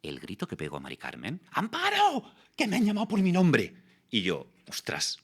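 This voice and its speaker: A man in his forties, a guest in an interview, explaining things in a lively way and acting out the people in his stories. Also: on the high side, normal speed, slightly rough. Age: 40s. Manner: explanatory, animated storytelling with voiced quotes. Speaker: guest, voice actor and communication coach. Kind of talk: casual interview talk.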